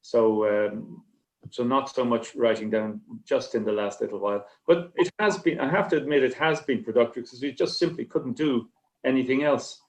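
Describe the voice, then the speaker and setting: A man, a writer on a panel, talking casually and reflectively in a halting, rambling, understated way. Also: medium pitch. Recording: slightly dull, lo-fi connection.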